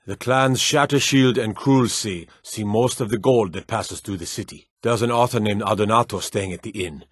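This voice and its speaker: A weathered Nordic townsman and guard for a fantasy video game. His voice is low and husky, recorded very dry and close. He is gruff and dutiful, speaking in a measured, weary, plain-spoken way. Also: no room sound. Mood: reflective, courteous.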